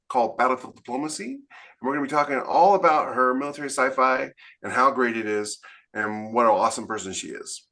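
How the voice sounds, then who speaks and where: Male podcast host, casual interview delivery, very bright and forward tone. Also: medium pitch.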